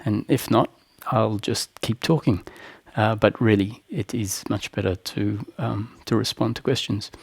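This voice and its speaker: A man with a creaky voice hosting a live online question-and-answer talk, speaking in a halting, deliberate way. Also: lowish voice, no echo. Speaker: host, spiritual teacher.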